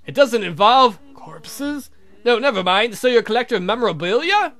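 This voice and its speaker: A man's voice: very high voice, sing-song, moderate pace, sharp tone, full character voice. A man voicing a courtly, exasperated vampire count with dry wit and theatrical formality in a visual novel.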